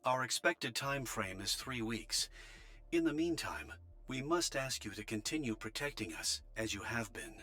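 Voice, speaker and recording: A man's voice: mid-range voice, big pitch swings, moderate pace, sharp tone. A man voicing a formal, courteous official reporting to soldiers in an anime-style game. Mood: formal, grateful.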